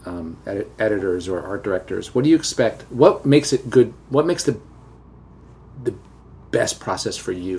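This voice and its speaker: A middle-aged man with a Southern American accent, a teacher hosting a relaxed, chatty interview and telling anecdotes. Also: lowish voice, creaky, a little hiss or hum. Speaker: podcast host and teacher. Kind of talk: casual conversational interview.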